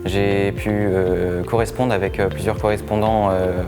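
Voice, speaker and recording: A man giving a halting interview testimony about his school years, full of pauses and fillers. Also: fairly low pitch, level tone. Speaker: former student giving testimony. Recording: music bed under voice.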